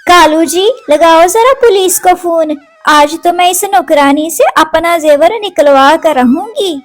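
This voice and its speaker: A woman's voice: very high voice, measured pace. A woman voicing a haughty, accusing rich female bird in a cartoon story, scolding and demanding. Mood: angry. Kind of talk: Hindi cartoon story dialogue.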